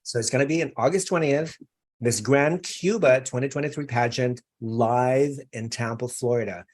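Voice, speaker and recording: A man's voice: mid-range voice, moderate pace, a little mellow. A man hosting a live online interview, chatty and effusive, with many fillers. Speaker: interview host.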